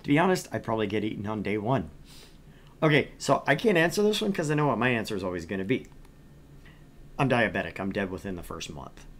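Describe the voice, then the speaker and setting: Animated man chatting on a game stream. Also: on the low side, quick pace, slight background noise. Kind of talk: casual stream chat.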